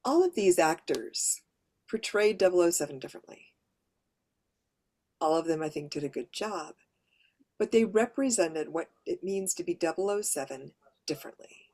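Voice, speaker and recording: A woman with a mid to lower voice who narrates audiobooks, giving a practical, conversational workshop presentation to writers. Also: lively intonation, moderate pace, pauses often, mellow. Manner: practical, conversational, explaining step by step.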